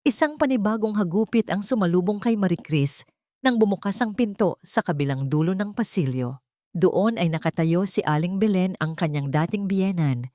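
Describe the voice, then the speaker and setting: Low-voiced woman narrating a dramatic story. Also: darkish tone, no room sound, studio quality.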